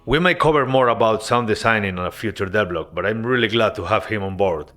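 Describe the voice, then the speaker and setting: A man narrating his game-development devlog as a voice-over, earnest and relaxed, with casual asides. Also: lowish voice, a little fast, soft background music.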